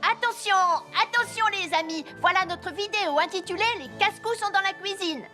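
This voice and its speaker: A mischievous little cartoon boy with a very high, bright voice. He is bossy and theatrical, a brash show-off. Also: fairly quick, faint music behind. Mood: showy, bossy. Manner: brash, melodramatic. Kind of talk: French-dubbed children's cartoon acting.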